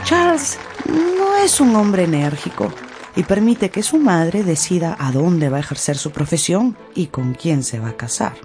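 A woman's voice: lowish voice, very animated, measured pace, a little mellow, faint music behind. A woman narrating a radio drama in a dramatised storytelling style.

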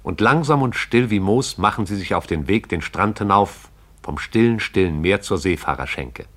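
An actor narrating a literary radio play as a lyrical storyteller, with measured, poetic delivery. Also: male voice, fairly low pitch, lively intonation, a bit bright. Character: lyrical male storyteller narrating a small town's morning.

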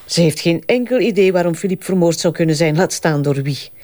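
A woman playing a formal, firm deputy prosecutor in a Flemish radio drama, speaking in a measured, curt way. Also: fairly low pitch, a little mellow, no echo, natural voice. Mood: businesslike.

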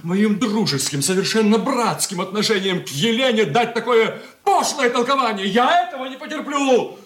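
A man's voice: high-pitched, expressive, moderate pace, fairly bright tone, roomy sound. An actor playing an indignant, haughty young man in a radio drama, declaiming with theatrical scorn. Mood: furious, indignant. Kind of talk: 1980s Soviet radio play acting.

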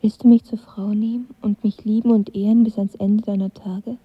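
An actress playing a guarded, teasing young woman in love, breathy and often half-whispering, in an intimate audio drama. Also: mid-range voice, flat delivery, moderate pace, natural voice. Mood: earnest, vulnerable. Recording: dull studio drama sound.

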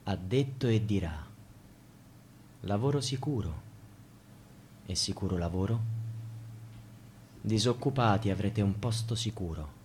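An actor playing a bitter, defiant young student in a poetic radio theatre monologue, delivered in halting, declamatory phrases. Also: male voice, fairly low pitch, expressive, a little mellow, a little hiss or hum. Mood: bitter, subdued. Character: anguished young student, bitter and defiant about precarious work. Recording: music under the voice. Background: music.

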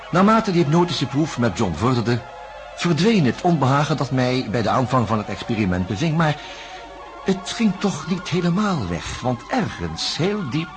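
An actor playing an earnest, uneasy male investigator, narrating a radio play in a measured, reflective way. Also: medium pitch, lightly acted. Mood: uneasy, brooding. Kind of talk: first-person dramatic narration. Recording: loud music behind the voice.

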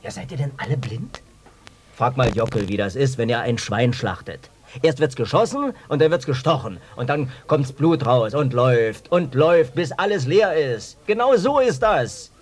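An actor playing a loud, hot-headed village brigade leader in a German radio drama, accusatory and emphatic. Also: male voice, medium pitch, very animated, moderate pace, slight background noise, lightly acted. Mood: indignant.

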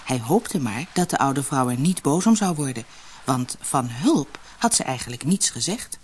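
A woman narrating a fairy tale for an audiobook in an expressive, dramatic, scripted storytelling style. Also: very deep voice, moderate pace, slight background noise.

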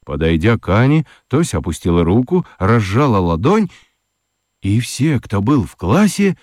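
Deep-voiced man narrating with lively intonation. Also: moderate pace, dry recording, clean studio sound. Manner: expressive, dramatic reading. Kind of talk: scripted storytelling narration for children's radio play.

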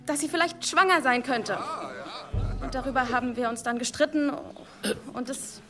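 A woman acting a nervous, high-voiced village girl. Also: a crowd in the background, natural voice. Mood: nervous, defensive. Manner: halting, repeating herself nervously. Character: anxious, evasive village girl, defensive and guilty. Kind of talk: German audio drama acting.

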